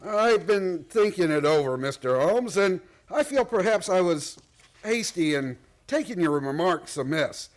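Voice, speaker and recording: A man plays a rich, domineering magnate in a radio drama. His voice is pitched high for a man, and he speaks bluntly and formally, with wounded pride. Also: animated, measured pace. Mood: grudging, frank. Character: proud, domineering, wealthy male gold magnate, defensive. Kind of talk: radio play acting.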